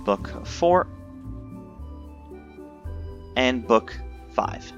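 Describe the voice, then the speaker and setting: A man's voice: mid-range voice, animated, drawn-out words, fairly bright tone. A man giving informal, instructive walkthrough commentary for a video game. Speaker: video game guide maker. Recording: dull lo-fi mic, faint game music. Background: video game music.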